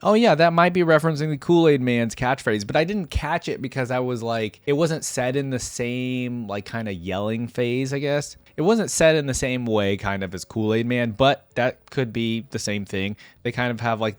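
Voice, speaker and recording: Male reaction host, mid-pitched, conversational and rambling, talks straight to viewers, dry sound. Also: moderate pace, hardly any pauses, clear, resonant tone, no room sound. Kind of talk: casual reaction commentary to camera.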